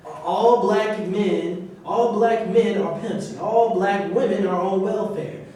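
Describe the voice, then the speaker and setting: A man's voice: high voice, takes it slowly, dark tone. A man in a staged reading, playing a bitter, cynical former football player. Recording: echoey room from far off, faint noise.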